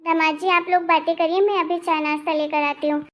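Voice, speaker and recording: A woman voicing an older mother-in-law in an animated family drama, firm and maternal as she admonishes. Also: extremely high pitch, a little fast. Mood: admonishing.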